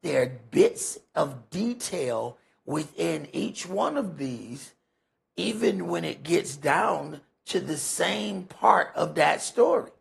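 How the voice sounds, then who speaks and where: Male Bible teacher, heavy vocal fry and rough husky tone, emphatic, folksy sermon delivery. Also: a little high, expressive, takes it slowly. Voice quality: gravelly, husky. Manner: emphatic, rhetorical questions, folksy phrasing. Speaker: preacher leading a Bible study. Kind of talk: conversational sermon with scripture commentary.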